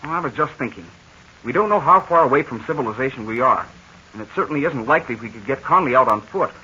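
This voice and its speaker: An actor playing an earnest young sidekick in a 1940s radio serial, with boyish, worried exclamations. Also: male voice, mid-range voice, lively intonation, fast talker, bright, forceful tone, breathy edge, full character voice. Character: eager young male sidekick, earnest and anxious. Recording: dull lo-fi 1940 radio transcription.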